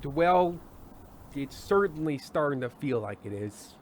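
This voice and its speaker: A man's voice: fairly high pitch, animated. Man playing a glum, fretful middle-aged show host. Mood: agitated, fretful. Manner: halting, fretful, stammering. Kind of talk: old-time radio play acting.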